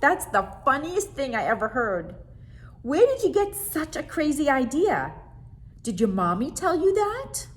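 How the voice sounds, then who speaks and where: A woman voicing a small, timid male monster who hides under a bed, talking high and excited in a storybook reading. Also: slow. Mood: giggly.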